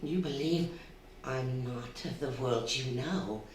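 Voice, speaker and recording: A woman playing a sinister, taunting undead female spirit in a radio drama, with a coaxing, theatrical, drawn-out delivery. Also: very deep voice, a little mellow. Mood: menacing. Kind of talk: stage radio drama acting. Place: theatre stage before a live audience. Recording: very echoey hall, distant, faint noise.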